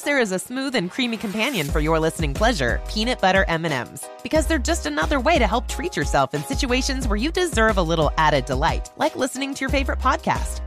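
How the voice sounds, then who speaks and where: Lively woman reading an ad over music. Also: on the high side. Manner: lively and enthusiastic. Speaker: ad presenter. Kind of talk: upbeat ad read.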